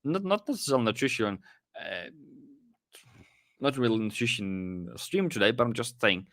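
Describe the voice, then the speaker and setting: A man's voice: mid-range voice, big pitch swings, normal speed, fairly bright tone, no echo. A young Norwegian man with a light Norwegian accent, rambling and self-deprecating as he streams live trading commentary to his chat. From Norway.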